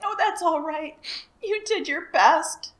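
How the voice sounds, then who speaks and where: Storybook boy, brave and cheerful; played by a woman reading, high and eager. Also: measured pace, full character voice. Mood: excited. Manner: excited, earnest.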